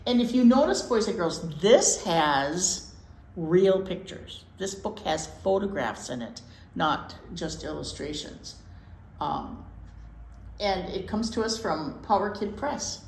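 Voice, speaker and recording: A woman hosts a children's read-aloud with very lively, swooping intonation. She mixes simple reading with folksy, informal explanations and repeats words for emphasis. The room is echoey, with some faint noise. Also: on the low side, slow pace, creaky. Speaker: children's storytime presenter.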